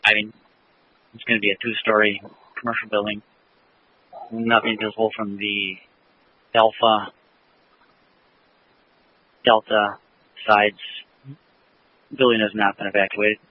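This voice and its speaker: Low flat guy voice, terse fire radio report, tinny with a bit of hiss. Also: a little slow, long pauses. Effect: two-way radio. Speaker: fire chief.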